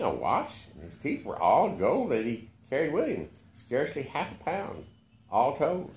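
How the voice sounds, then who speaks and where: A man reading a literary short story aloud with expressive narration. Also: fairly low pitch, echoey room. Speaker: story reader.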